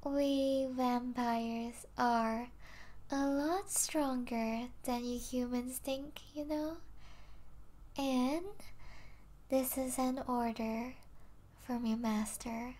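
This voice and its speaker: A high-voiced vampire princess, played by a woman, lingers very slowly over her words. She is regal and possessive, yet soft and doting, slipping into breathy asides as if in an intimate ASMR roleplay. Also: fairly even intonation. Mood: possessive, coaxing. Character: possessive yet tender vampire princess, mistress of a human pet.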